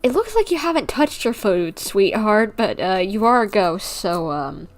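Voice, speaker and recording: A young woman livestreaming a video game, chatting casually in a halting, rambling, informal way. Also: mid-range voice, sing-song.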